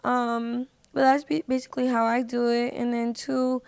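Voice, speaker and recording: A woman's voice: fairly high pitch, flat delivery, normal speed, vocal fry, darkish tone, smooth, no room sound. A woman chatting casually as a podcast guest, in an informal, slangy way. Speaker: podcast guest, singer and social media creator.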